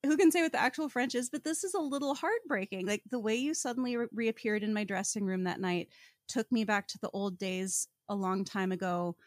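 A woman's voice: mid-range voice, fairly quick, dry recording, studio quality. A woman co-hosting a podcast, giving casual comedic book commentary in a wry, emphatic, slangy manner. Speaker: podcast co-host.

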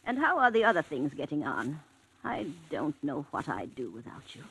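An actress playing a frail, trusting elderly invalid woman in a 1940s radio drama, with a breathy, quavering voice. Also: sits low, sing-song, normal speed, fairly bright tone, exaggerated voice, low-quality recording. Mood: chatty. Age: older. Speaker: invalid widow in a cottage.

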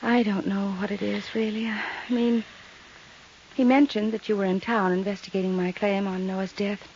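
An actress playing a distraught, fragile widow in a 1950s radio drama, hesitant and drifting. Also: female voice, mid-range voice, little pitch movement, moderate pace, a little mellow, natural voice. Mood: hesitant, ashamed. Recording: dull, hissy 1950s radio recording.

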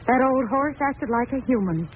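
A woman's voice: high-pitched, lively intonation, normal speed. Tinny old-radio sentimental country mother. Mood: wistful, sad. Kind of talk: 1940s radio drama acting. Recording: tinny, faintly noisy old radio recording.

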